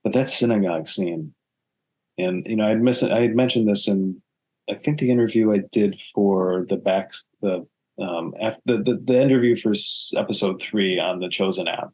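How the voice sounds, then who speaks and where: A man speaking as an actor being interviewed, earnest and reflective, often halting and restarting his phrases. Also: fairly low pitch, a little mellow, slightly rough, poor audio.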